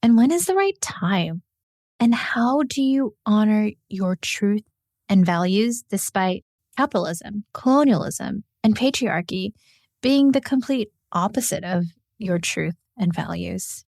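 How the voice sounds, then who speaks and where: A woman hosting a podcast interview, talking in an energetic, chatty way with quick asides. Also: medium pitch, vocal fry, no echo, clean studio sound. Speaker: podcast host and career coach.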